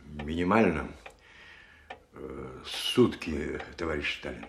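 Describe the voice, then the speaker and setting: An actor playing a deferential, anxious Soviet security chief, hesitant and subdued, in a radio play. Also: male voice, rumbling low, animated, slow pace, vocal fry, a little hiss or hum, exaggerated voice.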